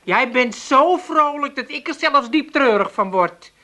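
An actress playing a sharp-tongued, nagging Amsterdam housewife in a radio play, with a sarcastic, scolding manner. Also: female voice, sits high, lively intonation, unhurried, heavily acted. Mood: complaining.

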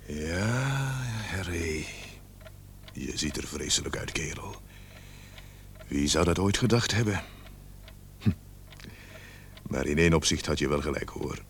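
A man acting in a Dutch radio play as a gruff, authoritative police sergeant with deliberate, emphatic delivery. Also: extremely low pitch, animated, slight background noise, heavily acted. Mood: quiet, grim. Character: gruff, authoritative male police sergeant leading a murder investigation. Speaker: radio drama actor.

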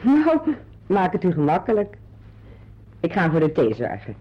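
An actress playing a friendly, deferential hostess in a radio drama, courteous and obliging. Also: female voice, fairly low pitch, huge pitch swings, unhurried, slightly rough, full character voice. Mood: hospitable, cheerful. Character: friendly, deferential, hospitable host serving tea. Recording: dull, lo-fi old radio play recording.

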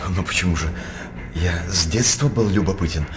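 An actor playing a sly court jester in a radio stage drama, wry and fawning, with a theatrical delivery. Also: male voice, deep voice, moderate pace, background noise, close to an everyday voice. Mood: sly, teasing.

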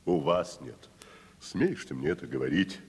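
A proud old-school tragedian in a 1970s radio-style stage play. His voice is low and husky, with swooping, pompous delivery. He sounds lordly and patronizing, and the sound rings with long reverb. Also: expressive, a little slow, a little mellow, heavily acted. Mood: lordly scolding. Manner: theatrical, pompous, haughty. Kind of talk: 1970s audio stage drama acting. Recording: reverberant hall-like studio recording.

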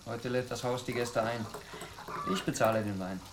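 An actor in a German radio play as a generous young son-in-law, speaking with cheerful, teasing confidence. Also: male voice, mid-range voice, fairly even intonation, normal speed, a little hiss or hum, close to an everyday voice. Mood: confident, generous.

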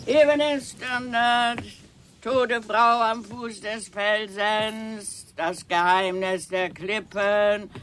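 An actor playing a street newspaper seller crying out headlines in a radio drama, with street noise around him. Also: male voice, high-pitched, flat delivery, drawn-out words, a bit bright, full character voice. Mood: calling out.

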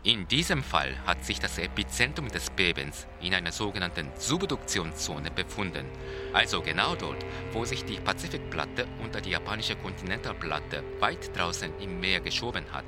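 An actor playing a Japanese seismologist, explaining in a precise, didactic, formal way in a radio-play interview. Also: male voice, low-pitched, big pitch swings, normal speed, music in the background. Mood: precise, solemn. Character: male Japanese seismologist, precise, solemn expert being interviewed.

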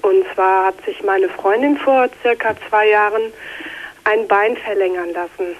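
A woman of about thirty calling a phone-in with a slight cold, explaining her story carefully in a measured way. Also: medium pitch. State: has a cold, slightly scratchy voice. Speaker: phone-in caller. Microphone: telephone. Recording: tinny narrow phone line.

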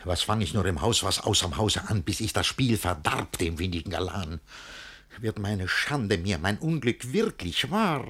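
An actor playing a jealous, lovesick older master who declaims rhymed verse in a classic German radio drama. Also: male voice, lowish voice, expressive, moderate pace, a bit bright, slightly rough. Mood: brooding, despairing. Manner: theatrical rhymed verse declamation, swinging from brooding to outraged.

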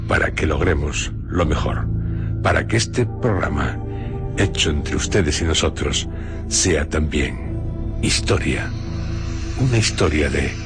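A man with a Castilian Spanish accent hosting a radio show, narrating a script in a solemn, theatrical, deliberate way with pauses. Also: very deep voice, lively intonation. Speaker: radio host. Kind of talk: dramatic scripted radio narration introducing horror and adventure stories. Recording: music bed under studio radio voice. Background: music.

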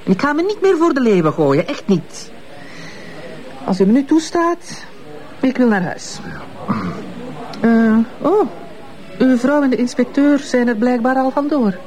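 A woman's voice: high-pitched, animated, unhurried, long pauses, a little mellow, low-quality recording. A proud, ironic Flemish lady. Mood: defiant. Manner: ironic, scolding, self-assured. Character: proud, bitter middle-aged Flemish woman, Mrs Mertens. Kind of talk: radio drama acting.

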